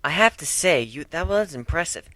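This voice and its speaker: Man as a studious young mare, pitched high. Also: expressive, normal speed, sharp tone, no room sound. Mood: earnest explaining. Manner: lecturing, explanatory. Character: studious, earnest young female pony magician.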